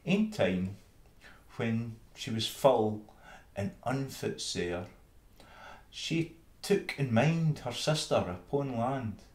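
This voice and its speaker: A Scottish man reading an old fable in verse with measured, dramatic storytelling. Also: lowish voice. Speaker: reader. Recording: roomy, echoing space.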